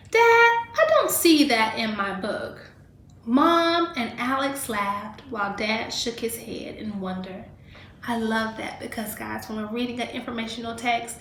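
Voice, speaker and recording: Female teacher, fairly high voice, expressive storytime delivery aimed at children, unhurried, recorded in an echoey room. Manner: deliberate, animated storybook delivery, addressing children directly. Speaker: teacher reading aloud to pupils. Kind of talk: expressive read-aloud with teaching asides.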